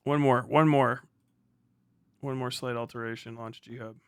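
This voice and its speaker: A man streams casually in a close, dry recording with no room sound. He talks off the cuff in a medium-pitched voice, half to himself, and swears freely. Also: fairly even intonation, moderate pace, smooth, no echo. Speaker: livestream host. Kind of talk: casual unscripted livestream chat.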